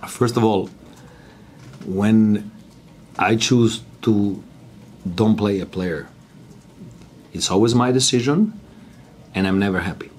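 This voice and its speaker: Man, low pitch, coach at a press table, emphatic, short answers. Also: takes it slowly, long pauses, darkish tone, a little hiss or hum. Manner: blunt, emphatic, broken English phrasing. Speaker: basketball coach at a press conference.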